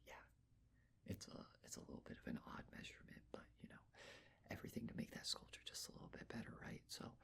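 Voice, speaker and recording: A person of unclear sex whispering gently in an ASMR roleplay, giving reassuring step-by-step instructions in a breathy voice. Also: quick pace. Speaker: ASMR performer playing someone measuring a client's face.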